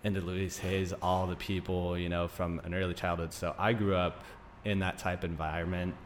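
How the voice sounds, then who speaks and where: A man's voice: low voice, level tone, normal speed, slight background noise. A man in his thirties from Greece, Denmark and Arizona, talking casually as an interview guest in an informal, rambling storytelling way. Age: 30s. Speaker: podcast guest, naturopathic doctor.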